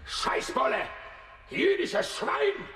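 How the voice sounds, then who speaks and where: A man's voice: extremely high pitch, very animated, takes it slowly, pushed and bright, roomy sound, full character voice. An actor playing a defiant, coarse man who taunts police in a radio drama. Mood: jeering, furious. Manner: jeering, provocative, coarse.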